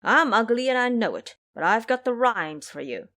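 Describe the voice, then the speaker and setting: A woman's voice: fairly high pitch, sing-song, moderate pace, sharp tone. A woman voicing a boastful, lazy, lustful male pig monster in an audio drama, pleading and bragging as he talks.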